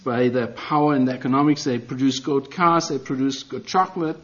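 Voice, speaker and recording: A man's voice: medium pitch, steady tone, a little fast, crowd noise. German-accented guy preaching, earnest, kinda dull lo-fi sound. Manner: earnest and self-deprecating, with non-native phrasing. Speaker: guest preacher. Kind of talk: sermon.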